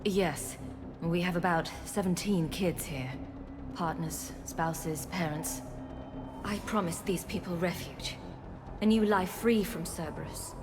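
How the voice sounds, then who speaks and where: An actress playing a former research scientist leading refugees, speaking in a measured, earnest way. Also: female voice, fairly low pitch, music in the background. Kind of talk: video game voice acting.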